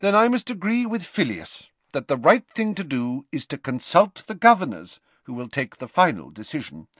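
A man voicing a stern older female professor, brisk and formal, in an audiobook. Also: fairly high pitch, sing-song, a bit bright, dry recording, pitched above the performer's own voice.

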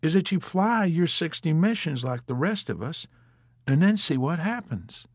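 A man's voice: on the high side, very animated, moderate pace, husky, no room sound, studio quality, pitched above the performer's own voice. A man voicing a self-important, stubborn airman in an audiobook, smug yet easily alarmed.

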